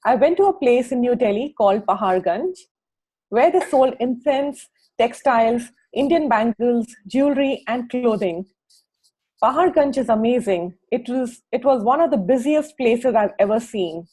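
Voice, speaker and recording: A woman co-hosting a book club, reading aloud from a book and adding informal comments in a husky voice. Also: fairly high pitch, animated, moderate pace, darkish tone, low-quality recording.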